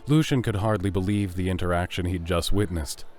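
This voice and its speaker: A man's voice: deep voice, fairly quick, darkish tone. A man narrating a scripted audio drama as its storyteller. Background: music.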